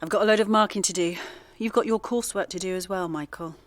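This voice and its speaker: A woman's voice: medium pitch, quick pace, no echo, natural voice. An actress playing a reserved middle-aged English mother in a naturalistic audio drama, subdued and guarded. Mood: guarded, weary. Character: reserved teacher, mother of a teenage son.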